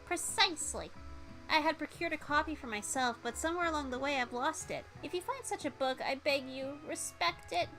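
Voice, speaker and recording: A woman's voice: sits high, brisk, pushed and bright, faint music behind, pitched above the performer's own voice. A woman voicing an earnest, apologetic spork monster who was once a dog, in a video game read-aloud. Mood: solemn warning. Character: apologetic former-golden-retriever spork monster, earnest and courtly.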